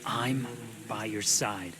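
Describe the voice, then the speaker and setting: Fatalistic game survivor, low-pitched and measured, grim, with rain noise behind him. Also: male voice. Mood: consoling. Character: world-weary, fatalistic male survivor, consoling friend. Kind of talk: video game dialogue.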